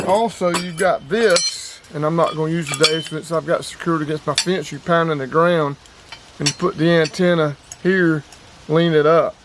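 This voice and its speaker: Folksy man, noisy background. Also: on the high side. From North Carolina, US. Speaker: outdoors and radio hobby vlogger. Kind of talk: casual demonstration vlog. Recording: clear background ambient noise.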